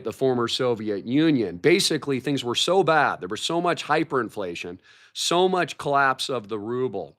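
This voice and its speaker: Man, hoarse voice, livestream host, hyped market commentary, repeats buzzwords, mid pitch. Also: a bit bright. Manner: hyped, repeats words like massive and shocking. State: hoarse, recovering from a cold.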